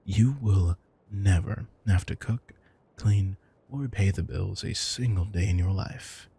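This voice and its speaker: A man's voice: low-pitched, slow pace, creaky, dark tone, slightly rough, full character voice. A man playing an affectionate, theatrical retired supervillain turned doting house husband, teasing and mock-grandiose in an intimate audio roleplay. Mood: teasing, chiding.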